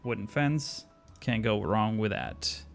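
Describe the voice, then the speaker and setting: A man's voice: fairly low pitch, expressive, slow, vocal fry, faint music behind. A man from Argentina streaming a city-building game live, thinking aloud with many fillers and answering chat questions as he plays. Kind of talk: live stream commentary while playing.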